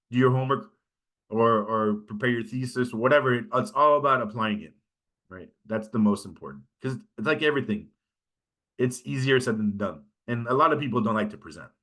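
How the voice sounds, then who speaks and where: Californian man, mid-pitched voice, conversational workshop instructor, informal lecture style, frequent check-ins. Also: a little fast, a bit bright. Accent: Californian American. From California, United States. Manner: conversational, often checks in with "right?".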